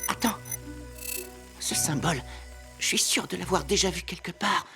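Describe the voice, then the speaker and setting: A woman voicing an eager, cocksure young boy inventor and adventurer in a cartoon, excitable and know-it-all. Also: on the low side, normal speed, gravelly, faint music behind. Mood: excited. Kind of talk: French cartoon voice acting.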